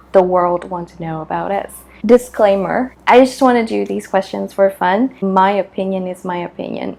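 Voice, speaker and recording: Unhurried Vietnamese-accented woman, casual vlogger. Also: fairly low pitch, creaky, darkish tone. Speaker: video creator answering questions. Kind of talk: casual chat to camera.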